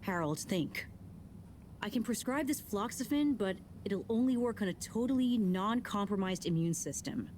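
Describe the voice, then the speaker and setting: A woman's voice: medium pitch, animated, normal speed, darkish tone, lightly acted. A woman voicing a chatty, caring game character who offers medical advice, with faint noise behind. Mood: concerned. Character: helpful woman advising on medicine.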